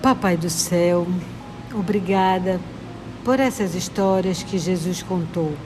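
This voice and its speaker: A woman's voice: lowish voice, measured pace, poor audio. Woman in simple prayer over music. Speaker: children's lesson co-host. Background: clear background music.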